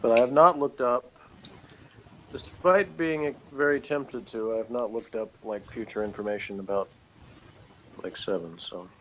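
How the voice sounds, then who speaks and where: A man talks casually as co-host of a review show, rambling and hesitating as he goes. His pitch is mid-range, and a faint noise sits behind his voice. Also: unhurried, a bit bright, poor audio. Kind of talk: casual unscripted review chat.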